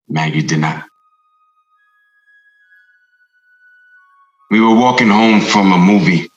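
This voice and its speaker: An actor with an American accent playing a guilt-ridden, hard-drinking former Marshal and veteran, brooding and halting through a confessional dramatic monologue. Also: male voice, low voice, fairly bright tone, muffled recording. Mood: bitter, remorseful. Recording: roomy echo under music.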